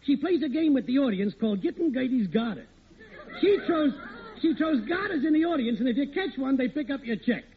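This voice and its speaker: An actor playing a sly, wisecracking married workmate who cajoles in slangy 1940s radio sitcom style. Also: male voice, very high voice, expressive, brisk, breathy edge, full character voice. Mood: cajoling. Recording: tinny old radio recording.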